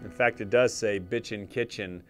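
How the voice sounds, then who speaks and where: A man's voice: fairly low pitch, steady tone, moderate pace, soft background music. A middle-aged man hosting a TV interview show, introducing and questioning his guest with enthusiasm. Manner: enthusiastic, showy. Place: TV studio.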